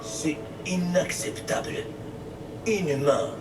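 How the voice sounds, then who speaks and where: A man's voice: a little high, lively intonation, slow, echoey room, distant mic, heavy background noise. A man's voice from game dialogue, speaking in an indignant, complaining tone.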